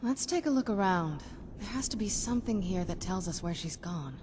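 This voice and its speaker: A woman voicing a shrewd, composed young female vampire necromancer in a video game, speaking in a measured, dry, musing way. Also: mid-range voice, lively intonation, noisy background, natural voice. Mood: musing, intrigued. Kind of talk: video game character dialogue.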